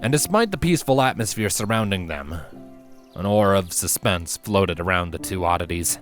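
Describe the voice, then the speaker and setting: A man reading a fiction story aloud as its narrator, in an expressive scripted style. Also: low-pitched, moderate pace, faint music behind.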